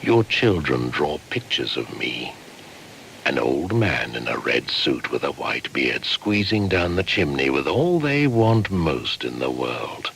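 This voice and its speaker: Radio play: age-old midwinter shaman spirit, solemn menace, bottomless pitch, slow chanting delivery with whispers. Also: sing-song, creaky, a little hiss or hum, full character voice. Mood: ominous, incantatory. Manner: slow, incantatory, breaking into hushed whispers. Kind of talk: dramatic radio play monologue.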